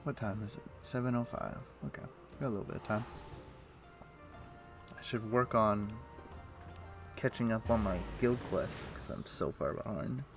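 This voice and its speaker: Low-voiced man streaming a game over music. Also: normal speed, pauses often. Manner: thinking aloud while playing. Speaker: livestreamer. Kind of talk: casual game stream commentary.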